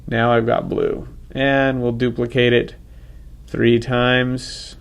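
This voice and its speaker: A man with a head cold, talking casually through a software tutorial and correcting himself as he goes. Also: medium pitch, little pitch movement, slow pace, creaky, clear, resonant tone. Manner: casual, thinks aloud, self-correcting. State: congested from a cold. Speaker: software instructor. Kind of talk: screen-recorded software tutorial.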